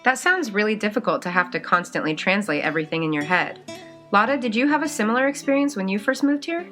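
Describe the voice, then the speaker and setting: A woman hosting a scripted podcast, with a bright, lively delivery and frequent laughs. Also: medium pitch, quick pace. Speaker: podcast host. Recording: clear music bed underneath.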